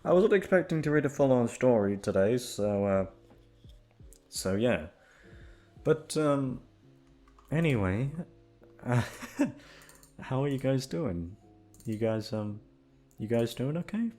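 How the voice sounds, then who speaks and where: A young adult man who works as an ASMR voice actor, talking in an unhurried, informal way. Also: medium pitch, animated, warm tone, faint music behind. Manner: self-deprecating, halting. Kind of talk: casual, rambling chat to listeners.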